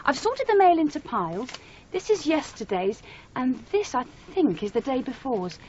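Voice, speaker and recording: An actress playing a pushy, eccentric, unflappable aspiring novelist, with a breezy, forward manner in a radio drama. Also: female voice, high-pitched, sing-song, moderate pace, a little mellow. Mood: confident, teasing. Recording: muffled old radio broadcast with hiss.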